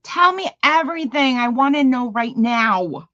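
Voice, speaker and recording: A woman chats eagerly on a craft livestream, curious about everyone and quick to laugh. Her voice sits fairly high, and the sound is dry and slightly dull, like lo-fi stream audio. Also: expressive, bright, forceful tone, dry recording. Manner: curious, gushing, laughs often. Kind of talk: casual chat.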